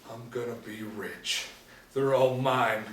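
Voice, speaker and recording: A man's voice: medium pitch, fairly even intonation, slow pace, slight background noise, close to an everyday voice. A man playing a cocky, wild young gang leader in a screenplay table read, boastful and taunting. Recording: echoey room, distant from mic.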